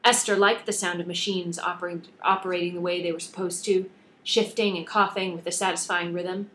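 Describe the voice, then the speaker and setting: A woman's voice: lowish voice, fairly even intonation, brisk, fairly bright tone. Woman reading fiction aloud. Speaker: author reading own book aloud. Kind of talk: scripted narration, audiobook reading.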